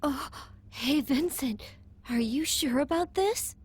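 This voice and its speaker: A woman's voice: high-pitched, slow pace. A woman voicing a naive, curious onlooker in a video game, small-voiced and questioning. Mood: puzzled. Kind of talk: video game dialogue acting. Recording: loud music underneath.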